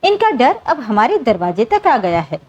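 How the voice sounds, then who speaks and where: Woman narrator as protective mother, determined, earnest, urgent, high pitch, lively melody, dramatic story. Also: moderate pace, breathy edge, lo-fi sound. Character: protective, determined mother fighting for her daughter.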